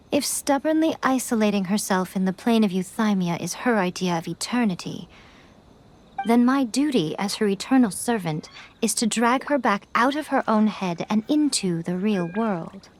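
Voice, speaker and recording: A woman playing an elegant, shrewd fox spirit in a video game, teasing and coy, with measured, formal phrasing. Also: medium pitch, darkish tone, a little hiss or hum, close to an everyday voice. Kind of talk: scripted video game voice acting. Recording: background music under the voice. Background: game soundtrack music.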